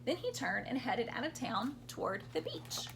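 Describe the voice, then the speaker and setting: A woman's voice: fairly high pitch, very animated, normal speed, vocal fry, background noise. A woman hosting a children's storytime, reading picture books aloud expressively and explaining things simply for young listeners.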